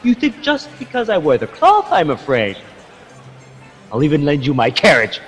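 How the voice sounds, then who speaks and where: An actor playing a haughty, indignant Spanish friar in a radio drama, with a bombastic and scornful delivery. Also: male voice, a little high, wildly swooping pitch, normal speed. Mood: furious, scornful. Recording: long hall reverb, music underneath.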